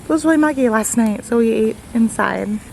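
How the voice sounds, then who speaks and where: A young woman vlogging on her phone outdoors at a beach resort, her voice raspy from being sick, talking to viewers in a chatty way with lots of "like". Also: on the high side, fairly quick. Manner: chatty, lots of "like", talks to viewers as "you guys". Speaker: vlogger, nursing student. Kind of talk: casual vlog narration. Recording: roomy outdoor sound with loud insect noise. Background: crickets, insects chirping.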